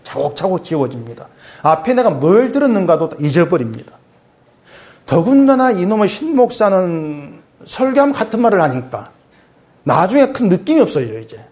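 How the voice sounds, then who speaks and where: A middle-aged male pastor preaching conversationally, asking his congregation questions and dropping low and soft when he reads scripture. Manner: swings between raised emphatic lines and quiet low asides; reads scripture aloud in a lower, softer voice. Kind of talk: sermon.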